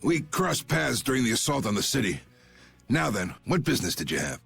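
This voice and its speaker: Gruff old crime-lord type for anime game: gravelly, blunt, big swings, quick temper, music underneath. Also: male voice, medium pitch, sing-song, a bit bright, full character voice. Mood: gruff, amused. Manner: blunt, swaggering, drawling. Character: gruff, commanding old guild boss, quick to anger. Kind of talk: anime video game voice acting. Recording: background music behind the voice.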